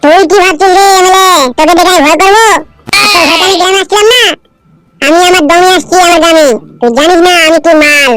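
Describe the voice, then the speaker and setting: Cheeky cartoon kid: extremely high, squeaky voice, rapid, whining, defiant, loud music behind. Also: female voice, fairly even intonation, poor audio. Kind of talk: comedy cartoon dubbing.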